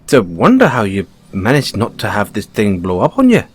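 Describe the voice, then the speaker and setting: Man playing a lilting, animated Irish bunny-boy mechanic. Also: on the low side, brisk, slightly rough, full character voice. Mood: tactful, wry. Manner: gently probing, wry understatement, hesitant phrasing. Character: polite, inquisitive Irish bunny-boy car mechanic, male. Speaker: audio roleplay performer. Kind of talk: audio roleplay acting.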